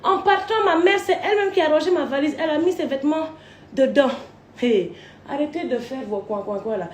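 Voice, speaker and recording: A woman's voice: high voice, a little fast, a little mellow, slight background noise. A woman from Côte d'Ivoire ranting in a rambling, crude way, swearing as she tells a long personal story. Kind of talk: rambling live rant. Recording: roomy echo.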